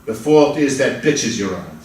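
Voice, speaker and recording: A man's voice: medium pitch, big pitch swings, a little mellow, lightly acted. An actor in a dramatic stage reading, playing a desperate accused man who pleads emphatically with a judge. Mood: bitter resignation. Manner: emphatic, pleading, drawn-out outbursts. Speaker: reader playing the accused in a play. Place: large indoor room at a college festival reading. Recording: echoey hall, far from the mic.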